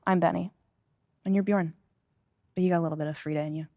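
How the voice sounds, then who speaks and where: A woman's voice: on the low side, steady tone, quick pace, mellow, dry recording, natural voice. A woman playing a bossy, quirky athlete's handler with an American accent, rambling chattily in a video game. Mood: breezy, persuasive. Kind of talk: conversational video game cutscene acting.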